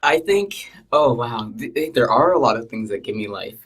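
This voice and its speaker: Quiet husky young man joking around. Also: medium pitch, animated, far from the mic. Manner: playful, quick jokes, laughs often. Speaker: podcast guest, improviser. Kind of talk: casual podcast chat. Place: small recording booth.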